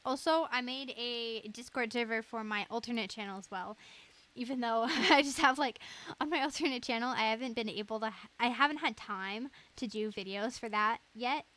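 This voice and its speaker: A woman's voice: fairly high pitch, moderate pace, a bit bright. A young woman live streamer chatting casually in a giggly, rambling way.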